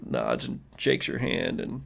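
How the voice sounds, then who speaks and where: A man's voice: low voice, very animated, measured pace, creaky, fairly bright tone, breathy edge. A man working as game master, improvising narration for a tabletop roleplaying game in a casual, conversational way.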